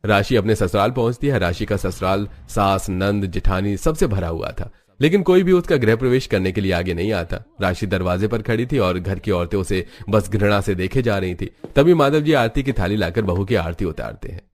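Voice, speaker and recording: A man's voice: lowish voice, expressive, normal speed, hardly any pauses. A man narrating a scripted cartoon family story.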